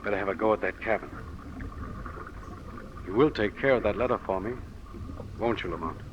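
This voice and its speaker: A man's voice: on the low side, normal speed, lo-fi sound, close to an everyday voice. An actor playing a nervous but brave man facing death in an old-time radio drama, earnest, theatrical and apprehensive. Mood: resigned, somber. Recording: noisy old radio recording.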